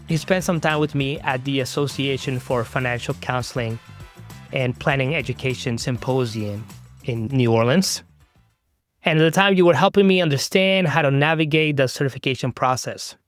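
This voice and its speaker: A man's voice: medium pitch, moderate pace, soft background music. Earnest male podcast host. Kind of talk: conversational interview.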